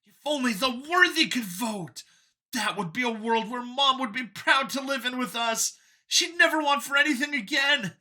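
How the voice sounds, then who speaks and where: A man's voice: very high voice, lively intonation, moderate pace, pushed and bright, voice pushed up, full character voice. A man voicing a smug, fanatical young cybernetic clone in a storybook reading, with grandiose, emphatic delivery. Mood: smug, zealous.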